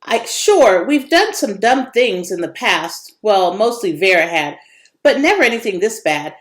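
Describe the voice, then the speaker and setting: A woman reading a children's story aloud as expressive narration, with varied intonation. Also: mid-range voice, a little slow. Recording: echoey room.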